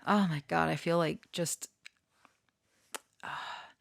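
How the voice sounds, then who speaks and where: A woman's voice: low voice, fairly even intonation, slow pace, gravelly, no echo, studio quality. A woman rambling casually to her online followers, giggly and prone to swearing. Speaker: online storyteller and channeler.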